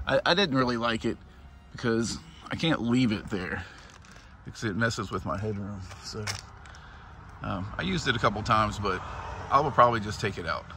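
A man's voice: mid-range voice, bright, forceful tone, slight background noise. Plain-spoken man explaining his van setup. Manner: plain, practical, explains step by step. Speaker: vlogger. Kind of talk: casual show-and-tell narration. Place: inside a minivan, then outdoors in a wooded junkyard.

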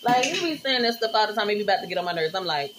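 A woman in her thirties with a Southern American accent, chatting excitedly to viewers while she cooks in her small home kitchen, moving toward and away from a camera set on the counter. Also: mid-range voice, rapid-fire, noisy background. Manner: chatty and excitable, calls viewers y'all and honey. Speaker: vlogger. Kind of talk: casual cook-along chat. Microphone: phone or camera set on the counter, she moves around it.

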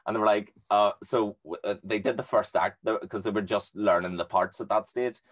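A young man with a deep bass voice, hosting a casual interview over a headset from a small room at home, relaxed and a bit hesitant. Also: brisk. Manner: relaxed, hesitant, with restarts and stammers. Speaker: podcast host. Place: small bedroom studio at home, indoors. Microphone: headset with microphone on a video call.

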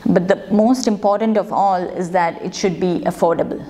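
Brief: woman in her thirties, dermatologist, mellow tone, measured explainer with pauses, lapel mic in clinic office. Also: on the low side, steady tone. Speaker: dermatologist, channel host. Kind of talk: explanatory talk to camera.

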